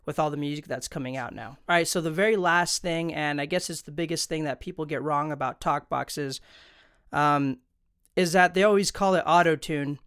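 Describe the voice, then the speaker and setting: Man, tutorial host, informal explainer, very clear smooth voice, conversational, dry close-miked sound. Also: fairly high pitch, moderate pace, fairly bright tone, no echo. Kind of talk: casual instructional explainer. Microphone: close studio condenser microphone.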